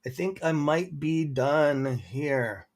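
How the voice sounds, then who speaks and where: A friendly Canadian man talks through a livestream in a loose, filler-laden way. His voice sits a little higher than most men's. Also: expressive, slow. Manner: rambling, filler-heavy, friendly. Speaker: guest presenter on a stock livestream. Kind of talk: casual livestream chat.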